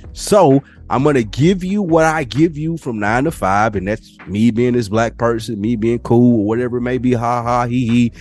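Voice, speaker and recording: A man co-hosting a podcast in casual conversation, slangy and profane, giving his opinions freely. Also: mid-range voice. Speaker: podcast co-host.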